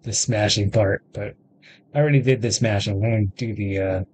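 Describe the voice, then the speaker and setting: A man's voice: fairly low pitch, steady tone, fairly quick, a little mellow, a little hiss or hum. A man in his thirties giving casual, offhand gameplay commentary as a video host, close to the mic. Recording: game music behind the voice.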